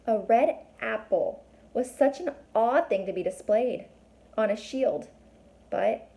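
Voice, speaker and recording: A woman's voice: medium pitch, a little mellow. A woman reading a children's book aloud as a teacher would, stopping to explain and put questions to young listeners.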